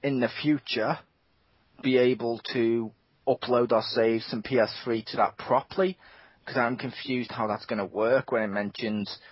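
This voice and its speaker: Guy hosting a gaming podcast, husky, animated, swears a lot, dull dry lo-fi sound. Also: mid-range voice, no room sound. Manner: animated, swears freely, quick asides. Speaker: podcast host. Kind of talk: casual gaming podcast chat.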